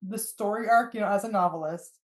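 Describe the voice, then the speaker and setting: A woman's voice: mid-range voice, brisk. A woman chatting casually on a podcast, commenting on a story as a novelist. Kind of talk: casual chat. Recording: roomy, echoing room sound.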